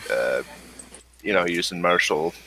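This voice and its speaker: A man running a tabletop role-playing game who explains the rules in an unhurried, offhand way. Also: sits low, pushed and bright, a little hiss or hum, poor audio. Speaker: game master.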